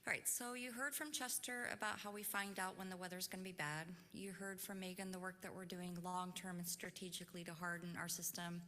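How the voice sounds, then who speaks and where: A soft-spoken woman from a utility explaining preparedness at a public forum in an orderly way. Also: fairly low pitch. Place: large meeting hall. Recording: reverberant hall with faint noise.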